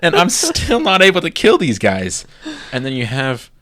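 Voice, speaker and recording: A man co-hosting a casual gaming podcast, telling his gaming stories in an animated way and acting out his own reactions. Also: a little high, moderate pace, dry recording, studio quality. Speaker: podcast co-host.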